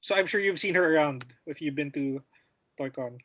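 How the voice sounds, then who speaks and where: A man's voice: on the high side, normal speed, fairly bright tone. Eager man hosting a livestream. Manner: hesitant, many filler uhs. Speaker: talk show host. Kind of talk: casual livestream talk show hosting.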